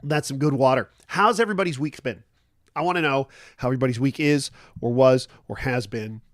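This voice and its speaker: A man's voice: medium pitch, a little fast, dry recording. A man hosting a casual morning livestream chat, stuffed up and nasal from a cold, rambling easily with lots of fillers and restarts. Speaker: livestream host.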